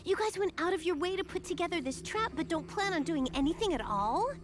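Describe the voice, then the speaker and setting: A woman's voice: very high voice, lively intonation, a little fast. An actress playing a defiant, bratty young female hacker gamer in a video game, flippant and challenging. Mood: defiant, annoyed. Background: game music.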